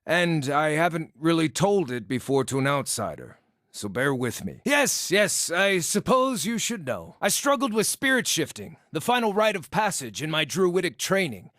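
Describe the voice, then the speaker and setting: A cheeky, scarred little druid outcast from a fantasy game. The voice sits fairly high for a male and swoops with lively intonation. The phrasing is wordy, wry and theatrical, recorded close and dry. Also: moderate pace, no room sound. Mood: wry, storytelling. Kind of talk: video game character dialogue.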